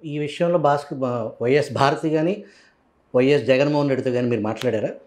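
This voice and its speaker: Male interviewer, mid-pitched voice, rapid questioning. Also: darkish tone.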